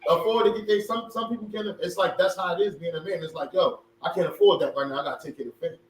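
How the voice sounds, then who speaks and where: A man in his forties with a mixed Philadelphia and Baltimore accent, co-hosting a casual podcast chat, animated and joking. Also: high voice, rapid-fire. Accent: Philadelphia and Baltimore mix. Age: 40s. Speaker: podcast co-host. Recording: roomy echo, far from mic, faint hum.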